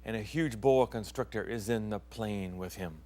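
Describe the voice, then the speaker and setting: A middle-aged man who grew up in Thailand, a pastor hosting his own program, telling stories in a sermon and often dropping to hushed tones and whispers. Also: lowish voice, moderate pace. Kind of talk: storytelling sermon.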